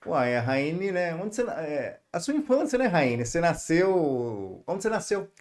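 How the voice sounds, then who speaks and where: A man's voice: fairly high pitch, sing-song, normal speed, a little mellow. A man co-hosting an interview show, giving short backchannel replies and playful questions. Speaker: co-host.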